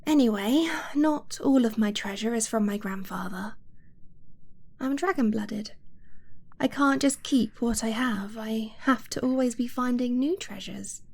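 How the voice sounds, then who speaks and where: Slow, soft-spoken affectionate dragon girlfriend, acted by a woman. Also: fairly high pitch. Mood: nervous, confessing. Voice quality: soft, breathy, often dropping to a whisper. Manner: hesitant, earnest, slow with halting pauses. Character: affectionate, anxious dragon-blooded girlfriend confessing her treasure hoarding. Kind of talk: intimate romantic ASMR roleplay.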